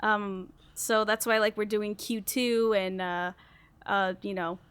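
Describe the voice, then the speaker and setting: A woman's voice: mid-range voice, fairly even intonation, slow, a bit bright, smooth. A woman hosting a casual group podcast, talking informally and thinking aloud as she goes. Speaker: podcast host putting questions to the group.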